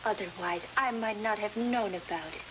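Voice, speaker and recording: A woman playing a cool, scheming political conspirator in a 1950s adventure drama, speaking formally and deliberately. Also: medium pitch, close to an everyday voice. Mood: cool menace. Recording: noisy, hissing vintage TV soundtrack.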